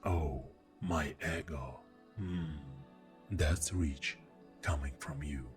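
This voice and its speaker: A man playing an exasperated, defensive boyfriend in an ASMR audio roleplay, speaking in a deep, soft voice with heavy sighs. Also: soft background music. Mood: hurt, reproachful. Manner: slow, pausing, with heavy sighs. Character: exasperated, defensive boyfriend arguing with a jealous partner.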